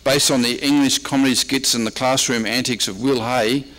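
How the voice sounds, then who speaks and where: A man lectures as a historian at a convention. His voice sits at a middle pitch as he takes listeners through his slides. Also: fairly even intonation, normal speed, a bit bright, poor audio. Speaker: presenter, radio historian and archivist.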